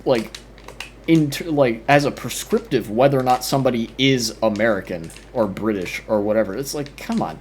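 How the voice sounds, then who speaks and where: A man's voice: mid-range voice, a little mellow, a little hiss or hum. A man commenting casually on a debate clip as a streamer, rambling with many fillers and restarts.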